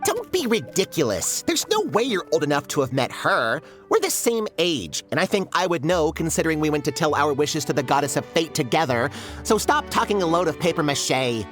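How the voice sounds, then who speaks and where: Casting: exasperated storybook creature for a game; lowish pitch, swooping sarcastic delivery, light music behind. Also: female voice, big pitch swings, a little fast. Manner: dry, scolding, sardonic. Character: sarcastic, no-nonsense paper forest creature, exasperated but helpful. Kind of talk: animated video game voice acting.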